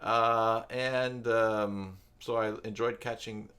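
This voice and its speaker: An older man talks casually as a live stream host. His voice sits on the low side. He rambles reflectively, pausing to find his words. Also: little pitch movement, a bit bright, smooth. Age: older, with grandchildren. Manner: rambling, reflective, hesitant pauses.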